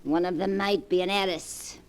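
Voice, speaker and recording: An actress playing a rich, sharp-tongued old grandmother with a dry, haughty, cutting delivery in 1940s radio drama style. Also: lowish voice, steady tone, moderate pace, smooth, lightly acted. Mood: sly, amused. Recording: vintage 1940s radio recording.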